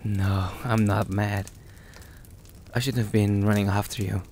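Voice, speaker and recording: A man acts out a caring, protective boyfriend in an intimate audio roleplay. His voice is low and gravelly, with a slow, halting way of speaking to his partner. There is clear ambient noise behind him. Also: flat delivery, darkish tone. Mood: tender, reassuring. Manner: soothing, reassuring, halting, addressing the listener as his partner. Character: sweet, protective young boyfriend, anxious and tender.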